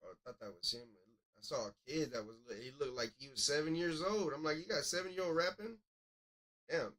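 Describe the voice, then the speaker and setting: A man's voice: a little high, fairly quick, fairly bright tone. Casual man reviewing music, thinking aloud. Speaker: music review show host. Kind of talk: casual live commentary and critique.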